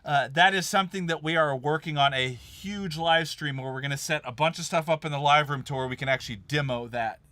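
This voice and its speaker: Host type, bright forceful male voice, dry close sound, easygoing self-deprecating talker. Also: fairly high pitch, a little fast, few pauses, dry recording. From Kansas. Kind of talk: casual studio chat on a live stream.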